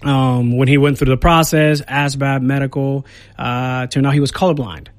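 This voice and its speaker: A man in his thirties, born and raised in Puerto Rico, speaks as a guest on a radio show. His medium-pitched voice rambles easily, full of fillers and restarts. The studio sound is dry and close. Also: level tone, moderate pace, no room sound. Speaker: Air Force recruiter. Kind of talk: casual radio interview.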